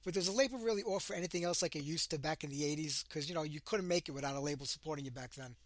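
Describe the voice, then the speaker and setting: Fast-talking Brooklyn-accented man. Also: on the high side, no room sound. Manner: chatty, rambling, laughs easily. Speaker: radio show host. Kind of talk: casual interview chat.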